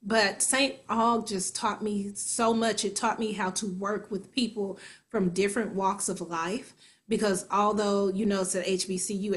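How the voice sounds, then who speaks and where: A woman in her forties from Kansas City, a stage actor and singer, chatting as a guest and acting out her own thoughts as she tells stories. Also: mid-range voice. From Kansas City, USA. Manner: lively storytelling, acts out her own inner voice. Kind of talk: conversational interview.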